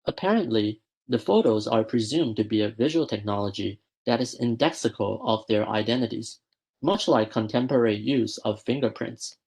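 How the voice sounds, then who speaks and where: A young man presenting a prepared academic paper at a student research school, reading in a measured way and often correcting himself mid-word. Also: fairly low pitch, gravelly, a little mellow, husky. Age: young adult. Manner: measured, with frequent self-corrections.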